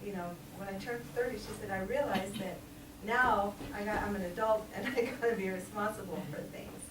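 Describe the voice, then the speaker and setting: A woman's voice: medium pitch, fairly even intonation, fairly quick. Brief: woman in her sixties, lay preacher, chatty with frequent laughs, recorded far off in a roomy, noisy church. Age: 60s. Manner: chatty, laughs often, um-filled. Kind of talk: informal church sermon. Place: small church hall. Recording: echoey room, distant mic, noisy.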